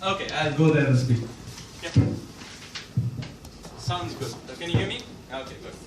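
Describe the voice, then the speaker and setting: A man, an author at a bookstore event, talks about his book and reads a passage from it, pausing often and restarting his sentences. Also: a little high, noisy background. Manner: thoughtful, with pauses and restarts. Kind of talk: talk and reading from his book. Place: bookstore event room, indoors. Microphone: distant mic or none. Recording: very echoey room, voice from far off.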